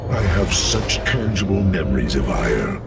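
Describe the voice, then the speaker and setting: A man voicing a huge, proud old alien warrior in a game cutscene, gravelly, weighty and formal. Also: low voice, sing-song, a little slow, far from the mic, over music, exaggerated voice. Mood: wistful, proud. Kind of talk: dramatic scripted game cutscene acting.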